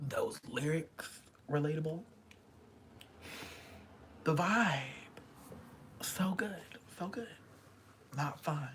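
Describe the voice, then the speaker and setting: A woman's voice: deep voice, drawn-out words, long pauses, slight background noise. A young woman casually reviewing pop albums in a vlog, chatty and gushing, sometimes dropping to a whisper. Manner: chatty fangirl, gushing, drops into quiet whispers.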